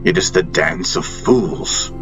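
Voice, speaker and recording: Male narrator as fantasy guru: low-voiced, loving yet exasperated, emphatic preaching in slow, weighted phrases over music. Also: muffled recording. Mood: patient reproach. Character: loving but exasperated male spiritual master, admonishing a king. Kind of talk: dramatic fantasy audiobook dialogue. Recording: music behind the voice.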